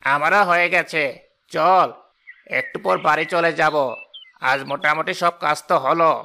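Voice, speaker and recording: A man voicing a greedy, sly, two-faced village farmer in an animated moral story. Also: a little high, moderate pace, vocal fry, a little hiss or hum. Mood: falsely friendly. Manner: falsely reassuring, gloating.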